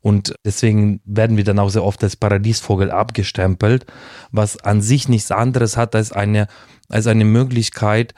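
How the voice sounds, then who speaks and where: A man talks as a guest on a podcast, his voice low and mellow. He is thoughtful and restarts often while he searches for the right words. The recording is dry, with no room sound. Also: little pitch movement, moderate pace, no echo. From Ukraine. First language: Russian. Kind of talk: casual conversation.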